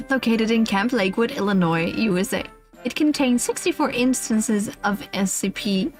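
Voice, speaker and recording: A woman streamer reading a humorous list aloud in a casual, playful, giggly way, with offhand asides. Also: fairly high pitch. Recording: music playing behind the voice. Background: background music.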